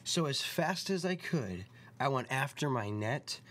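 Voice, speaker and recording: A man's voice: medium pitch, lively intonation, measured pace, fairly bright tone. A man acting as storyteller and host, reading a children's storybook aloud and chatting casually.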